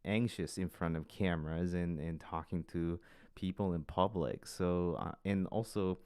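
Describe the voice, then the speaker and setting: Man, Korean accent, Korean as first language, talk show host, slow and hesitant, deep voice, smooth and clear. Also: steady tone, darkish tone, dry recording, clean studio sound. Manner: slow, hesitant, pauses to form sentences. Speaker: podcast host. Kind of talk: casual online talk show chat.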